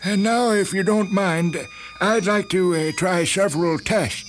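A man playing a polite, uneasy hospital doctor with a mild, halting manner in a dramatised story. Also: high-pitched, fairly even intonation, faint music behind. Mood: hesitant. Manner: halting, mild, full of hesitations.

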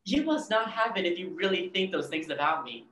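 A man's voice: high voice, very animated, a little fast, bright, forceful tone, full character voice. A young man playing a touchy, egotistical artist in a staged play reading, conversational and defensive. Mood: controlling, offended. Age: young adult. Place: bare painted-wall room, video call. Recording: echoey room with background hiss.

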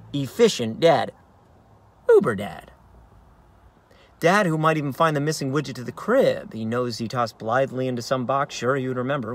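A man's voice: a little high, a bit bright. A man in his thirties, an actor playing a frazzled, wry stay-at-home dad in a dramatic monologue recorded inside a car. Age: 30s. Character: frazzled, self-deluding young stay-at-home dad, cursing and scheming. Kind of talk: dramatic staged reading, third-person monologue. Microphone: phone or camera at arm's length.

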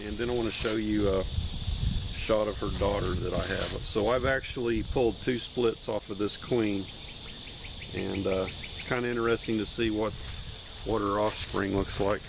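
A man casually narrating a hive inspection outdoors, with an unhurried, plain-spoken, matter-of-fact way of talking. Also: lowish voice, steady tone, vocal fry, noisy background. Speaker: beekeeper and video host. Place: outdoors among trees beside beehives.

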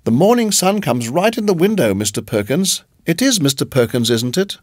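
A man's voice: on the high side, big pitch swings, normal speed, no echo, studio quality, voice pushed up. A man voicing a sweetly unsettling middle-aged landlady who talks in a cooing, fussy, overly pleasant way. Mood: cooing sweetness.

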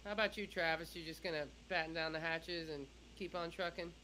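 A woman's voice: on the low side, fairly even intonation, brisk, pushed and bright, rough recording. A woman in her thirties hosting a casual chat on a video call. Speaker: host.

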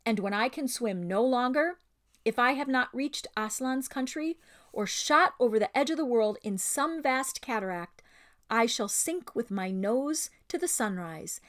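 Woman as male storybook mouse knight, small, valiant, slightly high voice, formal, resolute, grand declarations. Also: expressive, unhurried.

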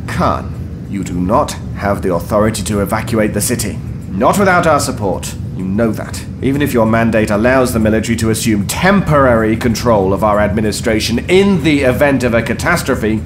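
An actor playing a stern, stubborn mayor in an audio drama, formal and commanding. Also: male voice, fairly low pitch, lively intonation, a little fast, music in the background, natural voice. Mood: stern, insistent. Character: stern authoritative male mayor, stubborn politician.

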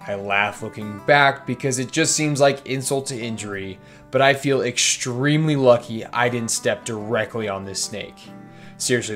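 A young man narrating a vlog in voice-over, candid and self-deprecating as he looks back on his mistakes. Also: medium pitch. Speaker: fishing vlogger.

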